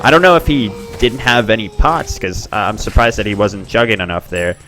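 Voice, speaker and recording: Chatty male game commentator, bright voice. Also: brisk, soft background music. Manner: chatty, excitable, bursts up in pitch at plays. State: expects to lose his voice. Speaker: tournament host and commentator.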